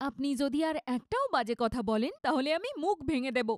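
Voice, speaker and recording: A woman's voice: sits high, very animated, a little fast, no room sound, studio quality. A woman playing a fiery, proud young married daughter in a cartoon story, scolding and indignant.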